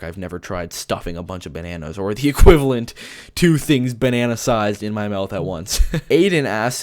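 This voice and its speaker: A man's voice: fairly low pitch, a little mellow. A young man from Canada with a lisp, hosting a casual gaming-channel Q&A from his bedroom, joking and laughing as he answers. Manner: chatty, joking, laughs often. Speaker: YouTube gaming channel host. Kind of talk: casual Q&A vlog.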